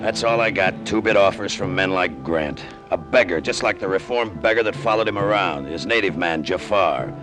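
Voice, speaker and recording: Man playing a low-voiced, world-weary American pilot. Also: normal speed, few pauses, natural voice. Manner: sardonic, slangy, world-weary. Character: cynical, down-on-his-luck American male pilot, hard-boiled storyteller. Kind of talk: 1950s radio drama acting, first-person narration. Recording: vintage radio drama with music behind.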